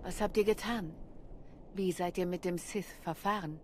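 A woman as a composed commander, lowish voice. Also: steady tone, normal speed, a little hiss or hum, lightly acted. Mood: probing. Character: composed, resolute young female military commander. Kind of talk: video game cutscene acting.

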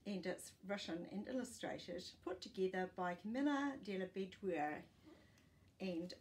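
Slow-paced older New woman reading aloud. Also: mid-range voice, a little mellow. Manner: deliberate, explanatory. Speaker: storytime presenter reading to children. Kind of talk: read-aloud children's storytime with asides. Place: small living room, indoors. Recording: faint room noise.

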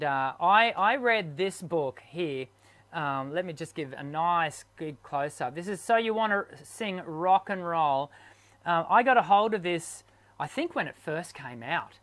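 A man's voice: fairly high pitch, a bit bright. Chatty, upbeat male host on a webcam. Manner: enthusiastic, chatty with many fillers. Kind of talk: live online interview. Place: home studio. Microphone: webcam on video call.

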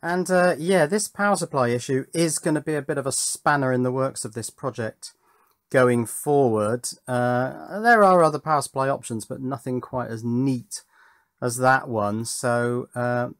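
A British man gives a casual workbench demonstration, pausing to think as he talks. His voice sits at a middling pitch and is recorded dry and close, with little room around it. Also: no room sound. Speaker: presenter of an electronics project video. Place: indoors at a workbench.

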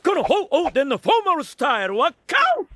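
A man's voice: extremely high pitch, sing-song, a little fast, fairly bright tone, no echo, exaggerated voice. A male actor playing a flamboyant, cocky showman swordsmith in an anime, with a theatrical, playful sing-song delivery that can turn cold. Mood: exuberant showboating. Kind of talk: Japanese anime voice acting.

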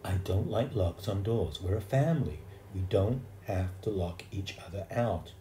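An adult storyteller voicing a gentle, firm, apologetic mother of young boys. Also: low-pitched, a little slow, roomy sound, slight background noise. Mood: firm, soothing.

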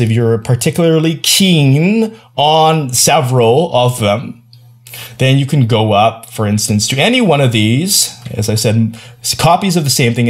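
A young man giving a casual, playful screencast tutorial with animated, slangy asides. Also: medium pitch. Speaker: tutorial presenter. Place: small indoor room at home.

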